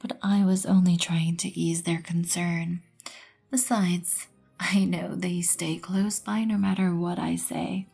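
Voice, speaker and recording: Vampire queen, female actor, ASMR roleplay: slow, mellow, breathy near-whisper, haughty, poised and composed. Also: fairly low pitch, level tone, exaggerated voice. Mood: calm, firm. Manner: poised, haughty, measured, soft-spoken. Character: regal, composed female vampire queen, proud and wryly merciful.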